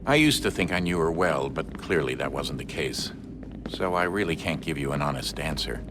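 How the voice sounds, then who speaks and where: An actor playing a stern, world-weary middle-aged father in a video game, speaking in a measured, matter-of-fact way. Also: rumbling low, animated, background noise. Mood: resigned, businesslike. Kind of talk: video game cutscene acting.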